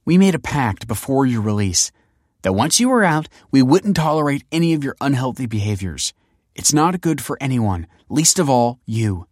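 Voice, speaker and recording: A man's voice: medium pitch, big pitch swings, normal speed, a little mellow, no room sound, clean studio sound, pitched above the performer's own voice. A man voicing an exasperated, scornful adult daughter in an audiobook story, sarcastic and impatient. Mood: firm, exasperated.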